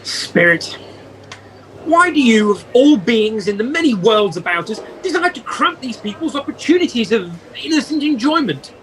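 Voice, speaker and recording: Woman playing a lively, challenging old miser. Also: on the high side, moderate pace, poor audio. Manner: questioning, challenging, earnest. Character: troubled old male miser questioning a spirit. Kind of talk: amateur radio play acting. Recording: faint noise on home call.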